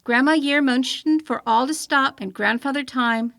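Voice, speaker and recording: A woman reading an old-fashioned children's story aloud in an expressive storytelling manner. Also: high voice, moderate pace, gravelly, no room sound, studio quality. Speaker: storybook reader.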